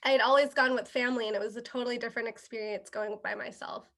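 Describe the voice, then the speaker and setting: Woman, young adult, guest chef, bright tone, relaxed and patient, casual explaining. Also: fairly high pitch, fast talker. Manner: relaxed, many fillers and restarts, explains terms patiently. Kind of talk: casual interview, explaining dishes.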